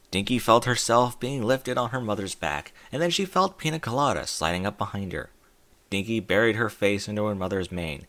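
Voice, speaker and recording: A man narrates a storybook chapter with a bright, forward tone. His pitch sits a little low but moves around a great deal as he tells it, full of expression. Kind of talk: audiobook fan fiction reading.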